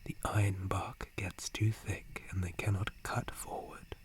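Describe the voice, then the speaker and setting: Man playing a slow, low, whispering gentle dryad. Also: little pitch movement. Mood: calm, reflective. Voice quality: breathy whisper. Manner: slow, soothing, unhurried. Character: gentle, calm male dryad forest guardian, friendly listener. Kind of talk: ASMR roleplay.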